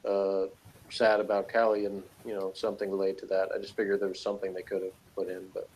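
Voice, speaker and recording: A man's voice: sits low, monotone, quick pace, darkish tone, a little hiss or hum, poor audio. Man in rambling, analytical casual discussion. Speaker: co-host reviewing a TV series.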